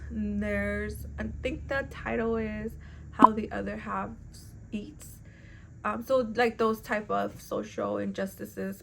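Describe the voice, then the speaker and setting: Casting: young female book vlogger, native Spanish speaker, rambling and chatty, a bit of vocal fry. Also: medium pitch, slight background noise. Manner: chatty, rambling, self-correcting. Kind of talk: casual chat to camera.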